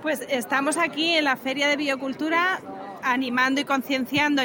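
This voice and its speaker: A woman's voice: high voice, bright, forceful tone, slight background noise. A young woman giving an articulate, explanatory interview answer as a representative of an organisation. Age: young adult. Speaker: campaign organisation representative at a fair stand. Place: stand in an indoor fair hall. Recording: busy trade fair hall with crowd. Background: crowd murmur.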